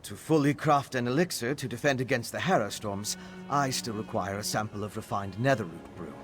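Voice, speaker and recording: A man acts out an eager, bookish scholar for a fantasy video game. He speaks thoughtfully and articulately at a middling male pitch, with music clearly playing behind him. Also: brisk, close to an everyday voice. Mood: thoughtful, intent. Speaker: game character. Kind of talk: scripted video game dialogue.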